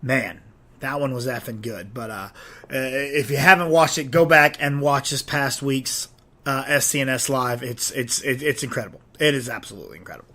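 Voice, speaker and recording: A man's voice: mid-range voice, normal speed. A man hosting his own podcast in a loose, rambling chat, sounding nasal and stuffed up from a head cold. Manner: rambling, relaxed, talks straight to listeners. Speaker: podcast host. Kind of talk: casual solo podcast chat.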